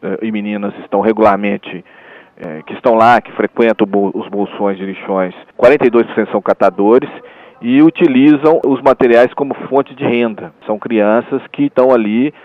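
A man's voice: on the low side, moderate pace, no room sound. A man who edits a university newsletter, answering interview questions on the radio, hesitant, restarting phrases and adding tag questions. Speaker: newsletter editor, radio guest. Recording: phone-line quality.

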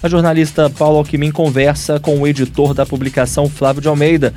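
Radio announcer guy, kinda high voice, smooth formal read, faint music under him. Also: little pitch movement, brisk, a little mellow. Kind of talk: scripted announcement. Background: music bed.

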